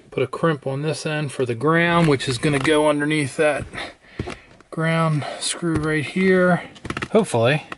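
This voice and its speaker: Man narrating casually over clear background noise. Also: on the high side. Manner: matter-of-fact, thinking aloud while working. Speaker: vlogger installing a car CB radio. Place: inside a car. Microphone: handheld camera microphone.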